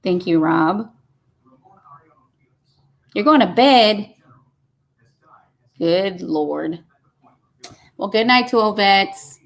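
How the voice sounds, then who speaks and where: A woman's voice: medium pitch, animated, very slow, pauses often. A woman, hosting a casual live stream and chatting folksily as she reads comments aloud. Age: 50s. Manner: chatty and folksy, says y'all.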